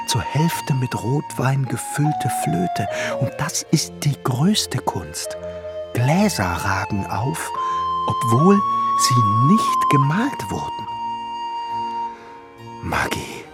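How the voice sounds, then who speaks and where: Radio play role: rapt craftsman, slow, halting, theatrical, highly animated pitch, reverent hush. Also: creaky. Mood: hushed fascination. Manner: reverent, halting, theatrical, often dropping into whisper. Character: awestruck male glassmaker rapturously contemplating a painting. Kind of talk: German radio play monologue. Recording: loud music behind the voice.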